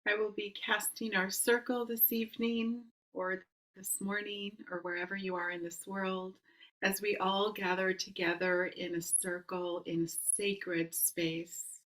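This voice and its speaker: A woman casting a ritual circle in a solemn, measured invocation, some words whispered. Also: medium pitch, flat delivery, fairly bright tone, rough recording. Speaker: circle caster.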